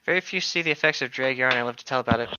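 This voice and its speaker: A man's voice: mid-range voice, very fast, muffled recording, background noise. A young man reading aloud and chatting casually as a co-host, informal and joking, sometimes stumbling over hard words. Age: young adult. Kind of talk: casual group read-aloud with joking asides.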